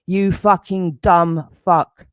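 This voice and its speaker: British man, angry rant, threatening, constant swearing, slightly high pitch, dry sound. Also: fairly even intonation, takes it slowly, fairly bright tone, clean tone, dry recording. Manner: furious, threatening, heavy swearing.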